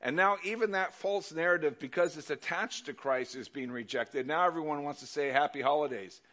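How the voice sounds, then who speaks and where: An older man giving a sermon, telling stories loudly and animatedly with casual asides. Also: on the high side, brisk. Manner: animated, loud storytelling with asides. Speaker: pastor.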